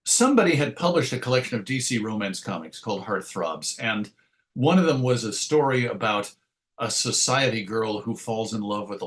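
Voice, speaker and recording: A middle-aged man giving a casual interview, telling anecdotes in a chatty, wry storytelling way. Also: fairly low pitch. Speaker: interview guest, comics writer and editor.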